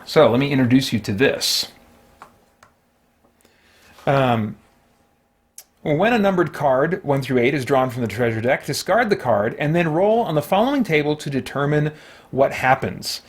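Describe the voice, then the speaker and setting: A man's voice: mid-range voice, expressive, a little slow, pauses often. A man hosting a solo tabletop game, talking casually and thinking aloud in a rambling way as he plays. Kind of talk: casual game play-through commentary.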